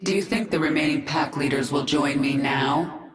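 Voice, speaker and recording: A woman's voice: low-pitched, normal speed, a little hiss or hum, natural voice. An actress playing a powerful reborn alien swarm queen, cool and commanding. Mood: confident. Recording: roomy echo.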